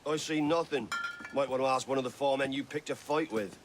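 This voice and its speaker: A man playing a gruff, irritable older tavern keeper in a video game, brusque and scornful. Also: medium pitch, fairly quick, background noise, lightly acted. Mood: exasperated, scornful. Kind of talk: dramatic video game cutscene acting.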